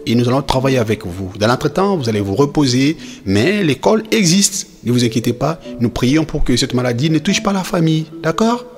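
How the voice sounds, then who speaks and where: A man's voice: mid-range voice, very animated, fairly quick, hardly any pauses, faint music behind, close to an everyday voice. Man acting a patient cartoon father. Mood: reassuring. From Democratic Republic of the Congo. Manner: patient, didactic, hesitant with repeated reassurance. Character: reassuring father explaining things to his children. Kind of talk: animated film dialogue, semi-improvised explanation.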